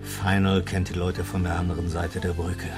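A man's voice: deep voice, completely flat delivery, moderate pace, close to an everyday voice. A man acting a cocky, coarse spy in a German crime radio play, speaking in terse, blunt, conspiratorial lines. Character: cocky, coarse male spy and lover, scheming adventurer. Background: prominent music.

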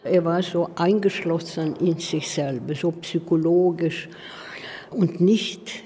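An older woman with a foreign accent who is not a native German speaker, recalling personal memories in halting, anecdotal phrases. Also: fairly low pitch, fairly even intonation, dark tone. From outside Sweden. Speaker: interviewee, artist recalling her life partner. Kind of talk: personal reminiscence. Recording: long hall reverb, noisy background.

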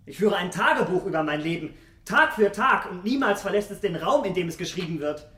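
Snooty young aristocrat in a radio play, kinda high voice, mocking and vain, very polished. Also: male voice, expressive, fairly quick, a bit bright. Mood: bitter, taunting. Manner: haughty, mocking, polished formal speech. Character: vain, scornful young English gentleman with dark secrets. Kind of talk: radio drama acting.

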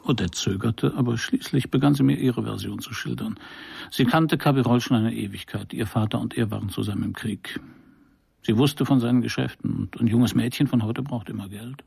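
An actor playing a brooding detective who narrates his own case in a measured, wry, gravelly voice for a radio crime drama. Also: medium pitch, a little mellow, no room sound, clean studio sound. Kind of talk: first-person noir radio narration.

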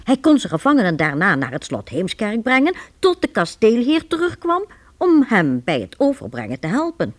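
A woman narrates a radio drama in a medium-pitched voice. Her delivery is very lively in intonation, and the sound is dry and close. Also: moderate pace, no room sound. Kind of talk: scripted radio play storytelling.

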